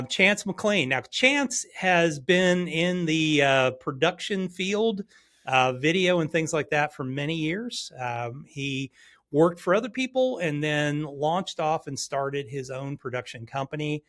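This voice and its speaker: Guy hosting a podcast, bit high voice, friendly, lots of uhs. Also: expressive, unhurried, fairly bright tone. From Hawaii. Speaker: podcast host. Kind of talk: podcast interview.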